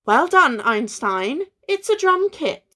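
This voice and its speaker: An amateur actress playing a sensible, bossy, cautious friend in a radio play, with a dry, scolding manner. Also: female voice, high voice, very animated, slow, a bit bright, full character voice.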